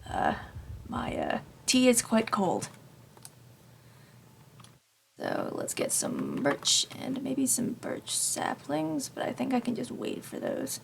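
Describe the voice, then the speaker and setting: Woman gamer, slightly raspy, gravelly, lively pitch, rambling self-deprecating commentary, faint keyboard noise behind. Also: a little slow. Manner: rambling, self-deprecating, talks to herself while playing. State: slightly raspy from long talking. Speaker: gaming channel host. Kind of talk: casual Minecraft let's play commentary.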